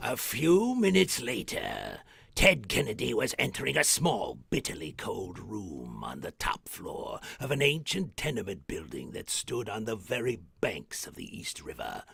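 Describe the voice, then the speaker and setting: An actor playing an eccentric mad-scientist museum keeper, hammy and macabre, hosting an old-time radio horror show. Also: male voice, medium pitch, huge pitch swings, unhurried, a bit bright, dry recording, heavily acted. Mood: ominous, hushed. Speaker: horror host and narrator. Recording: clear background noise.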